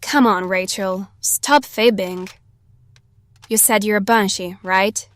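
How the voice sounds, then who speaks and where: A woman's voice: mid-range voice, steady tone, slow, no room sound, natural voice. A woman playing a blunt, curious, defiant sixteen-year-old girl with a casual, sweary manner in an audio drama. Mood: curious, teasing.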